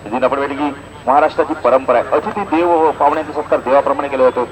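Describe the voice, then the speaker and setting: A man's voice: medium pitch, very fast, poor audio. A man with a Maharashtrian accent doing live cricket commentary and announcements. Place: outdoor cricket ground. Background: crowd.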